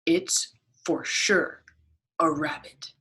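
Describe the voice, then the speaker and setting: Storybook contrarian played by a woman: stubborn, firm, lower register, drawn-out delivery. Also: fairly even intonation, bright, forceful tone, in a whisper, exaggerated voice. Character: stubborn, contrary arguer who sees a rabbit.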